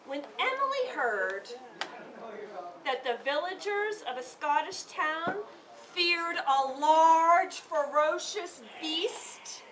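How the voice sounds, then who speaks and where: A woman reading a children's story aloud in an expressive, theatrical way, pausing to engage her listeners. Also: very high-pitched. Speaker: author reading to a group of children. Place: room with children responding. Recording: distant microphone, noisy room.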